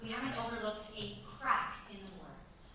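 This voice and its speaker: A woman's voice: medium pitch, expressive, moderate pace, pushed and bright. A woman playing a spiteful, prying grown daughter in a staged period radio play. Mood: spiteful, intrigued. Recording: echoey stage, distant mic, faint noise.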